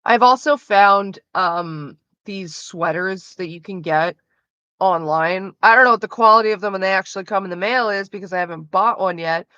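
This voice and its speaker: A Canadian woman chatting loosely on a livestream, rambling with lots of swearing. Her voice is bright and forceful. The sound is dull and lo-fi in a dry, dead space. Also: medium pitch, normal speed, clear, resonant tone, no echo. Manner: rambling and profane, loose with frequent fillers. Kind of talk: casual livestream chat with viewers.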